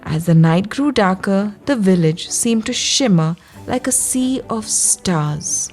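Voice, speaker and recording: A woman's voice: mid-range voice, expressive, a little mellow. Woman telling a children's bedtime story over music. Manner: slow, soothing guidance addressed to young children. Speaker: children's storyteller and yoga guide. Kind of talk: gentle scripted bedtime story narration.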